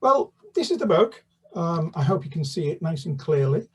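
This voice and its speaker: Hesitant older man, husky and distant. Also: fairly high pitch, big pitch swings, moderate pace. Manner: hesitant, many fillers and restarts. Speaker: children's author. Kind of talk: casual interview chat.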